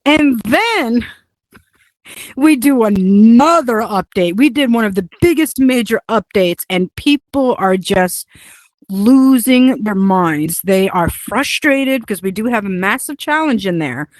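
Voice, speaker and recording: A woman's voice: on the high side, animated, unhurried, no echo. A woman whose first language is Hungarian, chatting casually about building a game, rambling with many fillers and laughs. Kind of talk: casual chat.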